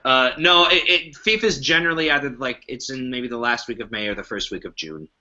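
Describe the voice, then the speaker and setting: A man's voice: medium pitch, expressive, a little fast, sharp tone, lo-fi sound. A man chatting informally and enthusiastically on a podcast. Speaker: podcast guest, improv festival organizer. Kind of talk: casual podcast discussion.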